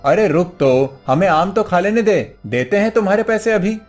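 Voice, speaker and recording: A man's voice: high voice, animated, normal speed, faint music behind. A man playing a brash, bullying but cowardly street thug, dramatically acted for a cartoon story. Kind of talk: Hindi cartoon story acting.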